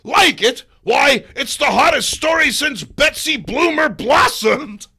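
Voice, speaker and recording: A man's voice: very high-pitched, expressive, slow pace, creaky, sharp tone, voice pushed up, full character voice. A man playing an irascible, bossy newspaper boss who bellows in exasperation, in a comic book reading. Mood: excited bluster.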